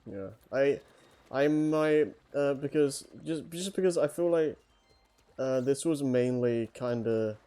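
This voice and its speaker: A man chatting casually as a livestream host, speaking in a hesitant, halting way. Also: mid-range voice, dark tone, clean tone. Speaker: host of a story-reading livestream. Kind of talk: casual chat.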